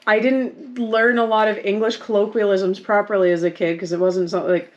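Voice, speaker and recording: A woman's voice: mid-range voice, fairly even intonation, normal speed, lo-fi sound. A young woman from Canada chatting casually while playing a board game with friends, saying "like" a lot and laughing often. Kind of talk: casual chat.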